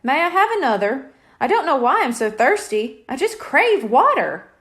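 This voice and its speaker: Enthusiastic storybook mom, acted by a woman reader, high and lively, with emphatic delivery. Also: moderate pace. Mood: pleading. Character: enthusiastic mother, gushing and thirsty.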